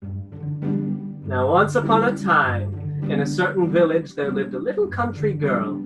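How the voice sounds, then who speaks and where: Man as a pompous, animated fairy tale narrator. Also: a little high, unhurried, over loud music, low-quality recording. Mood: grand, soothing. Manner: theatrical, pompous, precise. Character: pompous, fussy male storybook narrator. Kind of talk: scripted fairy tale narration in comic radio play.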